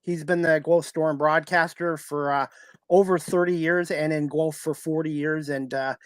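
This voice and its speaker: Higher-voiced man hosting casual sports talk. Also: steady tone, moderate pace, no echo. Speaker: talk show host, sports broadcaster.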